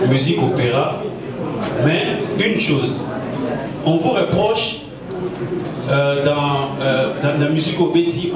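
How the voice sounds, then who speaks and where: A male journalist asking a hesitant question at a press conference, mixing French and Lingala. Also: a little high. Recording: echoey hall, far from mic, crowd.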